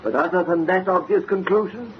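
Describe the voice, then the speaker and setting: A man's voice: high-pitched, a little fast, natural voice. An actor playing a grave, sceptical man of the law in an old-time radio mystery, formal and patronising. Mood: grave, dismissive. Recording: muffled, hissy old radio recording.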